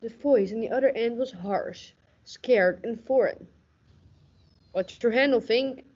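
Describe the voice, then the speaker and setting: A woman's voice: fairly high pitch, measured pace, darkish tone, a little hiss or hum, lightly acted. Young woman as a hardboiled detective, muffled recording. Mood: gruff, curt. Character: hardboiled, cynical police detective lieutenant. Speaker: student radio play actor. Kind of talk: noir radio play narration, parody.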